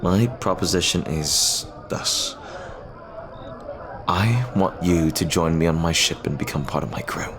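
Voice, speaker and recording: A lovestruck pirate captain, played by a man in an audio roleplay. His voice is low and deep. He speaks earnestly and hesitantly, with tender, formal, old-fashioned phrasing. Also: lively intonation, a little slow, crowd noise. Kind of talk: ASMR roleplay acting.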